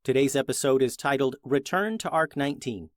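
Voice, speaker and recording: Man, children's storyteller and podcast host, medium pitch, scripted narration, dry recording. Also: brisk, no room sound.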